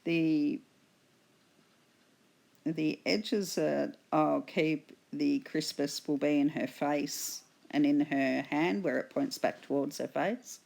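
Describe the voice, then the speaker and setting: A woman with an Australian accent, hoarse from a cold, patiently explaining her painting steps in a tutorial voice-over. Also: low voice, a little mellow. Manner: slow, patient explaining with fillers. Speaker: art instructor.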